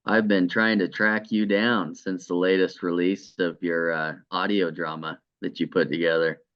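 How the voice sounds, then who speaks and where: A man's voice: low-pitched, fairly even intonation, moderate pace, a bit bright, muffled recording. A man conducting a conversational interview in an encouraging manner.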